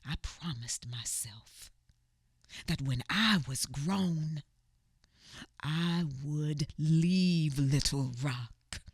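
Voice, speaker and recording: A resolute woman remembering her childhood, played by an actress in a radio play. She speaks very slowly, with a breathy, husky voice. The sound is dry and close. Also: sits low, no room sound, full character voice. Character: grown woman recalling a hard childhood, resolute. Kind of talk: radio theater acting.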